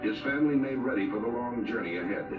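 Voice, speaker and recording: A man's voice: medium pitch, quick pace, narrow, tinny audio. A man narrating a scripted documentary in a solemn, storytelling manner. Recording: roomy, distant 1970s film soundtrack. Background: loud music.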